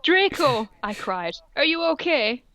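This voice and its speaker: A woman's voice: high-pitched, big pitch swings, unhurried, fairly bright tone, dry recording, clean studio sound. A woman co-hosting a comedic read-aloud, amused and breaking off for mocking asides. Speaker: co-host reading fan fiction aloud.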